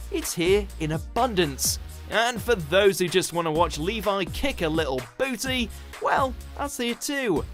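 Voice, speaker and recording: A man's voice: high voice, fairly bright tone. A man reading scripted narration as the narrator of a ranked countdown video.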